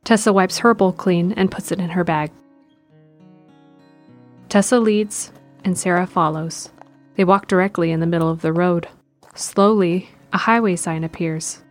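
Female narrator for scripted audio drama, lower register, music bed behind. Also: steady tone, measured pace.

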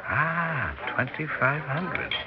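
An actor playing a smooth-talking, flattering theatrical con man, grandiose and persuasive, in a 1960s radio drama. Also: fairly high pitch, slow. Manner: grandiose, persuasive, falsely reluctant. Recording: faintly noisy, dull 1960s radio recording.

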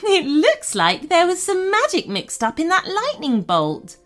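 A woman voicing a calm, amused toy unicorn in a children's story, breezy and matter-of-fact. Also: very high voice, measured pace, faint music behind, pitched above the performer's own voice, heavily acted.

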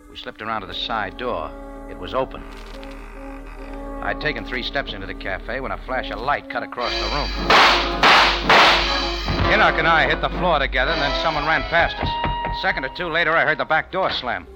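An actor playing a wry, tough American cafe owner and reluctant sleuth, narrating and acting in a 1940s radio drama. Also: male voice, medium pitch, moderate pace, long pauses, lo-fi sound, close to an everyday voice. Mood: tense narrating. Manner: wry, laconic, hard-boiled. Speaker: lead character and narrator. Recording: old radio recording with music.

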